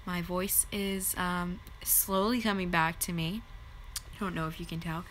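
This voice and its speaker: A woman's voice: fairly low pitch, fairly even intonation, a little slow, a bit bright, slight background noise. A young woman with a head cold and a stuffed-up nose, rambling casually through a story with lots of fillers. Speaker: vlogger.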